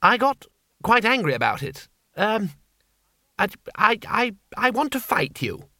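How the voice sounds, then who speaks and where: Here is a timid little old man in spectacles, speaking high and hesitantly, with a stammer that keeps breaking in, as a man voices him. His voice is lively and up and down, and it is recorded dry and close. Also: measured pace, no room sound, exaggerated voice. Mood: meek.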